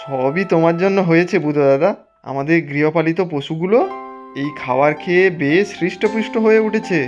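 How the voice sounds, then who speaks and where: A man's voice: on the high side, a little fast, faint music behind, close to an everyday voice. A man voicing a willing, grateful young farmer in a cartoon, speaking earnestly. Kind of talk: Bengali cartoon voice acting.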